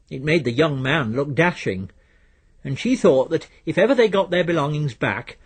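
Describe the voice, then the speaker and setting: A man reading a literary story as narrator in a measured, dry storytelling manner. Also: on the high side, breathy edge. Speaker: narrator, reader.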